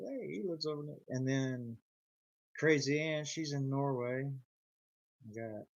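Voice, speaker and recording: A man's voice: medium pitch, no echo, highs cut off. A man with a slight Arkansas twang, rambling and chuckling as he chats with viewers of his live stream. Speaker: YouTuber chatting with live viewers. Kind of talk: casual live-stream chat.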